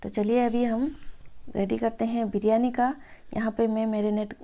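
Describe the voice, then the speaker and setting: A woman's voice: a little high, level tone, normal speed, warm tone. A woman home cook talking viewers informally through a recipe, addressing them as friends. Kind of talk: informal cooking tutorial voice-over.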